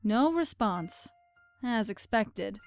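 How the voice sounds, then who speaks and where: A woman's voice: fairly high pitch, expressive, takes it slowly, gravelly, faint music behind. A woman reading scripted story narration as the narrator of an audio drama.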